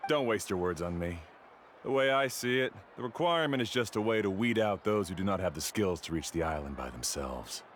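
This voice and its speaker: An actor playing an arrogant, dismissive guide-for-hire in a video game, with a haughty, scornful manner. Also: male voice, low voice, expressive, brisk, a little hiss or hum. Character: arrogant, dismissive, mercenary male island guide.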